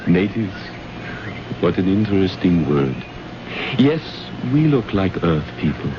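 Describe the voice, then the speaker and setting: An actor playing a calm, cryptic man from another planet in a radio drama, speaking in a measured, deliberate, grave way. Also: deep voice, heavily acted. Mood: wry, composed. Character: calm, cryptic alien man guarding his world. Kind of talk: old-time radio drama acting. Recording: noisy, muffled old radio recording.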